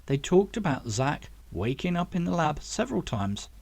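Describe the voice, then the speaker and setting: A man's voice: a little high, big pitch swings, moderate pace, vocal fry, darkish tone, dry recording. A man reading a story aloud as scripted audiobook narration, as the author of the book.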